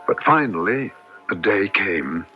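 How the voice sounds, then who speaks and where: An actor playing a haunted, earnest man in a radio drama, speaking gravely in formal, literary phrases. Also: on the low side, expressive, unhurried. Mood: grave, troubled. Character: haunted, earnest man trapped in a transformed body. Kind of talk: 1970s radio drama acting, first-person narration and dialogue. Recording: dull old radio broadcast recording. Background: dramatic music.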